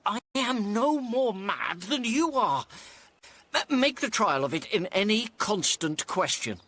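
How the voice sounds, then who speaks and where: A high-voiced, wronged gentleman prisoner in a classical radio play. He stammers and pleads urgently, his bright, tense tone swinging widely as he insists he is sane. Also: very animated, unhurried, full character voice. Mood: insistent. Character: desperate wronged gentleman locked in darkness, pleading sanity. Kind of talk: classical radio drama acting in Shakespearean English.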